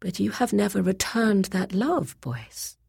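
A woman voicing a grave, sorrowful and unyielding woman of terrible power in a fantasy story, quiet and solemn. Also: mid-range voice, very animated, unhurried, vocal fry, breathy edge, no echo, studio quality, pitched above the performer's own voice, exaggerated voice. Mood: sad, restrained.

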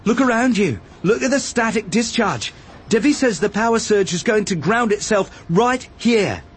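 A man voicing an adult male warrior, commanding and desperate, shouting urgently in a dramatised audiobook. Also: high-pitched, expressive, normal speed, husky, pitched above the performer's own voice. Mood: urgent, exasperated.

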